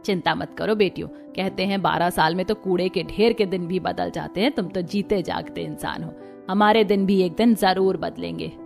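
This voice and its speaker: A woman's voice: on the low side, normal speed, creaky, soft background music. A woman voicing a firm, protective older village mother-in-law in a cartoon, motherly and admonishing. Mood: consoling.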